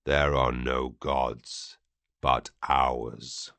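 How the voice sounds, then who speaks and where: A man voicing a giant alien warlord in an audiobook, cold and contemptuous, with a breathy growl and drawn-out, menacing delivery. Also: a bass voice, little pitch movement, fairly bright tone, no echo, pitched below the performer's own voice, heavily acted. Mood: cold menace. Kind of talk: audiobook character acting.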